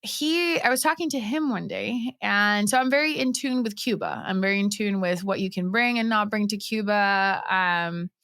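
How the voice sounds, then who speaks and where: A woman's voice: medium pitch, normal speed, few pauses, fairly bright tone, dry recording, studio quality. A woman from Puerto Rico, telling personal stories conversationally as a podcast guest.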